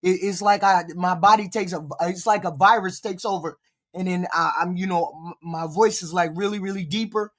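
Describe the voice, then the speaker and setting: A streamer giving blunt, profane live reaction commentary, with a voice deepened by a cold and short of breath. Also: fairly even intonation, moderate pace.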